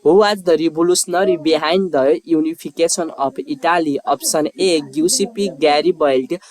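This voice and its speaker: A quiz narrator reading scripted questions and answer options aloud in a formal, measured way. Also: mid-range voice, a little mellow, low-quality recording.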